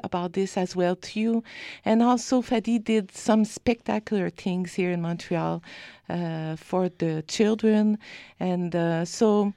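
A woman hosting a radio interview for children, with halting, hesitant phrasing. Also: mid-range voice, mellow, no room sound, clean studio sound. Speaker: radio show host.

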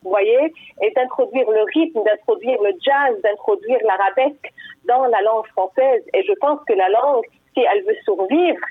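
A woman author with as her talks reflectively, pausing often to search for words. Her voice is a little husky and sits somewhat high. It comes through a thin, tinny telephone line with no room echo. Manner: thoughtful, reflective, with frequent hesitations. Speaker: guest author. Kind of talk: conversational interview answer.

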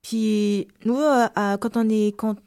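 A woman's voice: medium pitch, steady tone, slow pace, vocal fry, mellow, clean tone. Hesitant woman with a light Malagasy French accent. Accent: slight Malagasy French. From Madagascar. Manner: hesitant, many repeated words and fillers. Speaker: guest. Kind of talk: casual interview answers.

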